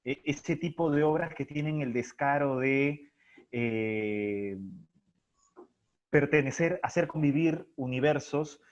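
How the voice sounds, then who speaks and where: A man's voice: on the high side, slow pace. Man explaining, slightly dull audio. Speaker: theatre director being interviewed. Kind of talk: casual explanatory interview talk.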